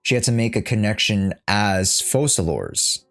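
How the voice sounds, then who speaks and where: A man's voice: lowish voice, animated, moderate pace, a bit bright, soft background music. A man giving casual reaction commentary on a game, speaking bluntly, swearing and repeating himself as he reasons aloud. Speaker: streamer reacting to a video game story.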